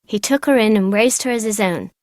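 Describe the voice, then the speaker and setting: A woman's voice: mid-range voice, brisk, darkish tone, dry recording, studio quality, natural voice. A woman voicing a chatty, precocious young girl from an aristocratic family in a video game, with casual, slangy, teasing talk. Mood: hushed storytelling. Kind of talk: video game character dialogue.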